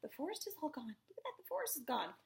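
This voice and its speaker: A young woman with a high-pitched voice reads a storybook to little children. Her tone is breathy with a crackly fry, often sinking toward a whisper. She breaks off for encouraging exclamations and easy questions. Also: wildly swooping pitch, racing. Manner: encouraging and exclamatory, with repeated praise and simple questions. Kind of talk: picture-book read-aloud with chatty asides and questions to children.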